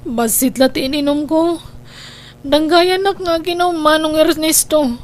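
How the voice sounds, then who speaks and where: A woman's voice: high voice, steady tone, unhurried. A woman with an Ilocano Filipino accent playing a lovelorn, distraught young woman in a comedy drama, pleading and emotional. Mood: plaintive.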